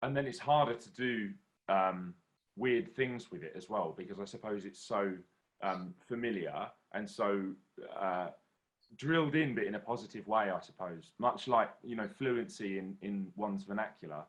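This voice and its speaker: A man's voice: on the low side, moderate pace. A young man from southern England, a singer in an online masterclass, speaking in an articulate, considered way with hesitations over a video call. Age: young adult.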